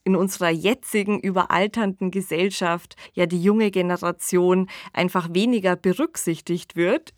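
A woman's voice: on the low side, fairly even intonation, normal speed, few pauses, dry recording, clean studio sound. A woman hosting a podcast, talking in a conversational, discursive style. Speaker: podcast host.

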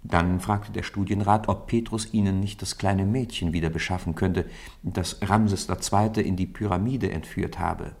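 A man's voice: low-pitched, fairly even intonation, normal speed, creaky, a little mellow, husky, heavily acted. A man narrating a children's radio play in scripted storybook style.

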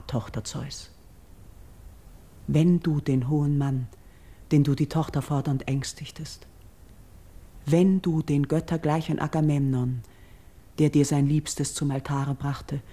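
A noble exiled priestess from a classical radio drama, mourning with solemn devotion. Her voice is dark and mellow with a heavy creak. She declaims her verse very slowly, sometimes sinking into a hush. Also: low-pitched. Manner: solemn, declamatory, measured, at times hushed. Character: grieving exiled royal priestess, noble and devout.

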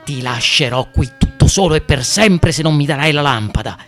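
A man's voice: fairly high pitch, expressive, normal speed, a bit bright, soft background music. A man voicing an impatient, bullying sorcerer in a storybook tale, with a commanding, urgent manner. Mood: impatient, menacing. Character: impatient, bullying mysterious male sorcerer with piercing eyes.

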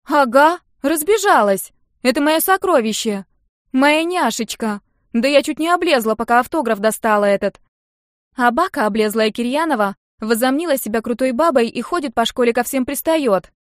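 A female narrator puts on a scrappy schoolgirl for an audiobook. She is cheeky and whiny, drawling bratty slang in a raised, high voice, captured in a dry recording. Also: animated, dry recording. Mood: bratty, defiant. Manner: bratty, drawn-out slangy complaining.

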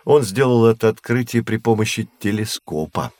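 An actor playing a patient, knowledgeable astronomy professor who explains things in a measured, didactic way in an educational audio drama. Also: male voice, on the low side, expressive, darkish tone, dry recording, natural voice. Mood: instructive. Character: male astronomy professor, patient, knowledgeable teacher of curious children.

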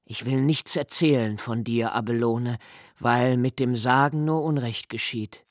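An actor playing a young nobleman who narrates a literary radio play in a slow, hushed, reflective way. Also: mid-range voice, slight background noise, lightly acted. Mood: musing. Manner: slow, hushed and reflective, dropping to near whispers. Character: young nobleman Malte, brooding poetic narrator.

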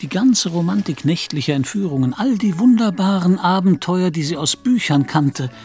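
A man's voice: high-pitched, animated, moderate pace, a little mellow. A man reading scripted literary narration as the storyteller of a German radio play.

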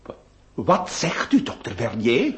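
A man acting in a radio play as an excitable, distraught nineteenth-century gentleman, stammering and melodramatic. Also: mid-range voice, measured pace. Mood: anxious. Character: distraught, excitable 19th-century gentleman who lost his nose. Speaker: radio drama actor. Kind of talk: theatrical Dutch-language radio play acting.

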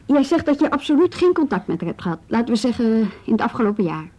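An actress playing a calm, probing policewoman in a radio drama, questioning in a measured, businesslike way. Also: a little high. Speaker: police officer questioning a youth.

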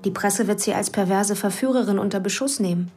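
An actress playing a cool, calculating police investigator who talks tersely, with whispered inner asides. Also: female voice, mid-range voice, brisk, a little mellow, faint music behind, close to an everyday voice. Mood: cool, pressing. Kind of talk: radio play acting.

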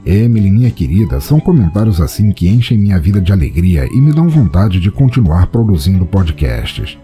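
A man's voice: low voice, big pitch swings, fairly quick, dark tone, faint music behind. A Brazilian man hosting a podcast, reading scripted narration and listener feedback in a theatrical, playful, informal manner. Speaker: podcast host.

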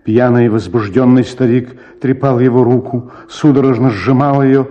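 A man's voice: medium pitch, fairly even intonation, normal speed, warm tone, dull audio. A man narrating a literary short story for radio in a dramatic storytelling style. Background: music.

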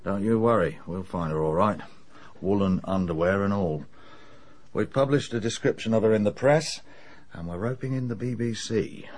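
Man playing a bluff, husky English copper. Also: fairly low pitch, normal speed, darkish tone, lightly acted. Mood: breezy, confident. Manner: breezy, informal, confident. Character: bluff, matey British male police detective. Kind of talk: radio drama acting.

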